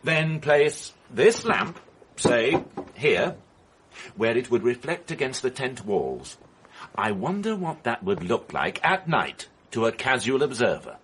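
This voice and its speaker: An actor playing a calm, methodical police inspector in a radio drama, questioning formally and pointedly. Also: male voice, medium pitch, takes it slowly, slight background noise. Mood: insistent, accusing.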